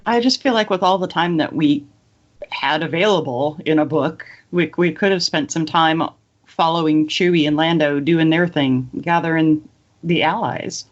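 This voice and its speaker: A woman's voice: deep voice, moderate pace. Woman chatting on a dull-sounding podcast. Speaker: podcast co-host. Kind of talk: casual fan discussion.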